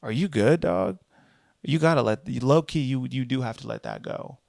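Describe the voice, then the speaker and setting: A young American man hosting a solo talk show, rambling and animated, often jumping into high mocking voices to quote people. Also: moderate pace, gravelly, no room sound, studio quality. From United States. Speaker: podcast host. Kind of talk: casual solo commentary.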